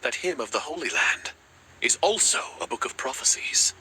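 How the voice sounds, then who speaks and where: A man's voice: medium pitch, very animated, moderate pace, sharp tone, a little hiss or hum, low-quality recording. A man voicing a devoted, reckless raven warrior in a video game, speaking with grand, earnest drama. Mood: fervent, earnest. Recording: background music under the voice.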